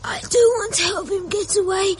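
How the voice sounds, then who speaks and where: A woman's voice: extremely high pitch, normal speed, slight background noise. A woman playing a plaintive, insistent ghostly child in a radio drama. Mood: pleading.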